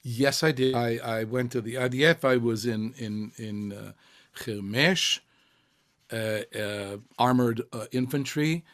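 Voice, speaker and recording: An older man raised in Israel tells his story in an interview. His voice sits at an ordinary middle pitch. He speaks haltingly, with frequent pauses, fillers and restarts. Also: a bit bright. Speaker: cantor, interviewee. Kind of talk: reflective interview answers.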